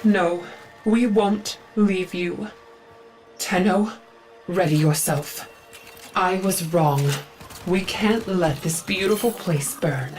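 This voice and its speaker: A solemn religious leader lady in a game, lowish voice, real slow and earnest. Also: a little mellow, faint music behind. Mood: resolute. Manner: measured, earnest, formal. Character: devout, solemn female leader of a faith. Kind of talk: dramatic video game acting.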